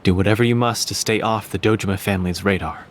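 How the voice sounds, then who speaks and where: A man's voice: on the low side, fast, a little hiss or hum, natural voice. An actor playing a composed, courteous, secretive man in a video game dub, speaking formally and with measure. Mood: composed, guarded.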